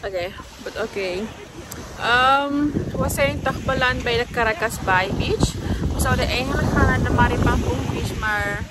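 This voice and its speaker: A woman's voice: a little high, normal speed. A woman in her twenties chatting casually to her vlog from a phone at arm's length, mixing in English phrases. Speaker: vlogger. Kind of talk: casual vlog chat to camera. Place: outdoors on a beach. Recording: noisy outdoor beach with waves.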